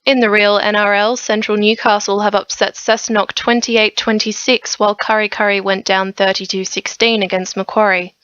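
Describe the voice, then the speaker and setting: A woman's voice: mid-range voice, level tone, moderate pace, few pauses, fairly bright tone, smooth, no room sound. A woman with an Australian accent reading a scripted sports bulletin on the radio. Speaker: sports newsreader. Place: dry radio studio. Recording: slightly dull, lo-fi radio recording.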